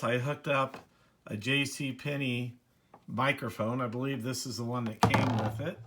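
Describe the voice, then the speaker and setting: A man's voice: on the high side, a bit bright. Casual man showing off hobby gear. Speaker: radio hobbyist, video presenter. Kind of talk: casual demonstration talk.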